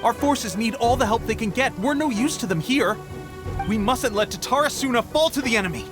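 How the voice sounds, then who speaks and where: Eager young male soldier, high voice, urgent, anxious, game drama, prominent music, storm. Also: lively intonation, brisk. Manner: earnest, urgent. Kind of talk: dramatic video game voice acting.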